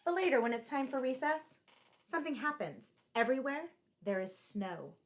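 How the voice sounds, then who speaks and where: A woman reading a picture book aloud to children in an expressive, deliberately phrased storytelling style, like a teacher. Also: high-pitched.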